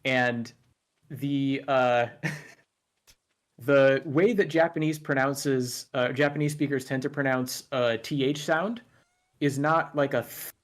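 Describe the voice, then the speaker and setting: A man chatting casually as a guest, relaxed and careful as he explains. He tells his stories at an easy, measured pace. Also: mid-range voice. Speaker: guest YouTuber. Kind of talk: casual conversation.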